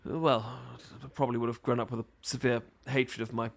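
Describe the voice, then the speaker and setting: A man's voice: mid-range voice, level tone, fairly quick, lightly acted. A man playing a stammering, adorkable British bookworm. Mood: musing, awkward. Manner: stammering, hesitant, flustered rambling. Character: awkward, adorkable, bookish British man, nervous and apologetic. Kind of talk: romantic audio roleplay, ASMR.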